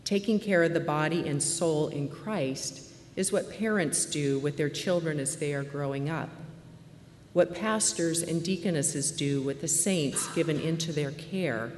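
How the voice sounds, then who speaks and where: Soft-spoken low-voiced woman in an echoey hall. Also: a little slow, faint music behind. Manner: soft-spoken, earnest, with some fillers. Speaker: deaconess speaker. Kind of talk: conference talk. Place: large hall. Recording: echoey hall with faint ambience.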